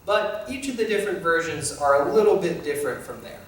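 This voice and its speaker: A man's voice: a little high, lively intonation, brisk, slight background noise, lightly acted. A man playing an easygoing young fairytale thief, telling stories to children in a friendly way. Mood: friendly, explaining. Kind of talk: scripted children's educational presentation in character. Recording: very echoey room, distant mic, faint music.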